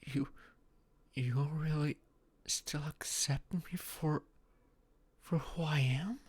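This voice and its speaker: A man's voice: a little high, slow pace, husky, dry recording. A man playing a shy, flustered young social outcast in a romantic audio roleplay, speaking hesitantly with stammers and sighs. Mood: startled, disbelieving.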